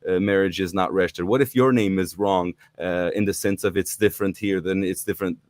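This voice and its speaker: Low-voiced eager male livestream host. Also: fairly even intonation, fairly quick, darkish tone, no room sound, clean studio sound. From Portugal. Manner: eager, inviting, with frequent fillers and restarts. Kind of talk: live online talk to viewers. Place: indoors in Portugal.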